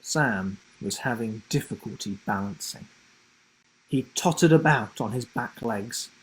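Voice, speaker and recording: Male author-narrator for children's fiction: slow, deliberate storytelling, breathy husky tone, expressive delivery. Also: mid-range voice, low-quality recording. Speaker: author reading his children's book.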